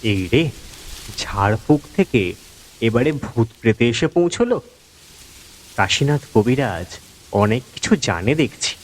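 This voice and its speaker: Slow, expressive male story narrator. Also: medium pitch, slight background noise. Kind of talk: scripted audio story narration. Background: background music.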